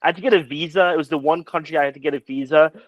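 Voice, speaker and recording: A man whose first language is Polish, telling animated travel stories in casual chat over a video call. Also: a little high, rapid-fire, no room sound, muffled recording. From United States. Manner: animated storyteller, many restarts.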